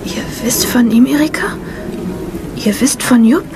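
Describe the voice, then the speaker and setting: A woman's voice: on the high side, a little slow, background noise. An actress playing a heartbroken, fragile young woman in a radio drama, crying as she speaks. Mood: tearful.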